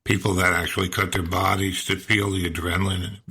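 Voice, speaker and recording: A man's voice: sits low, little pitch movement, a little fast, pushed and bright, slightly rough. A man hosting an interview who chimes in with empathetic, chatty remarks. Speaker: host. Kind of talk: podcast interview.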